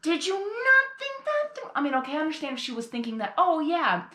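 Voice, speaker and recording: A woman's voice: high voice, big pitch swings, a little fast, sharp tone. A woman giving casual reaction commentary, explaining things in an emphatic, exasperated way. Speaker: reaction video host. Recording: echoey room.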